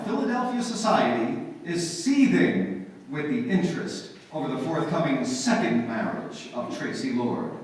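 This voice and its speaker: A man playing a formal, declamatory 1940s radio drama announcer, reading scripted narration. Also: on the high side. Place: live performance in a library. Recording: very echoey hall, distant, faint noise.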